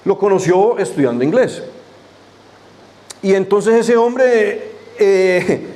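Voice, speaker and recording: A man's voice: high voice, takes it slowly, a little mellow, poor audio. A man leading a church service, first praying fervently with repeated invocations, then telling a personal story in a loose, conversational way. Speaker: pastor. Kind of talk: sermon with opening prayer and testimony.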